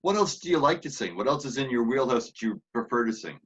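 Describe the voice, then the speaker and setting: A man's voice: medium pitch, big pitch swings, fast talker, a bit bright, muffled recording, slight background noise. A man co-hosting a podcast, asking casual questions and laughing along with his guest. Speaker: podcast co-host. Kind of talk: casual interview chat.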